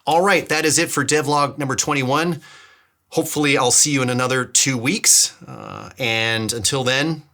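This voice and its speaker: A man's voice: mid-range voice, normal speed, bright, forceful tone. A man hosting a casual video devlog, talking informally and thinking aloud, often circling back and repeating words as he goes. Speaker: DIY filmmaker and vlog host. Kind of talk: casual devlog talk to camera.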